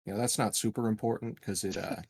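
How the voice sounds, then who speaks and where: Man, husky voice, slightly low, tabletop player, casual strategy talk. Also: steady tone, fast talker, a little mellow. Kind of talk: casual game strategy discussion.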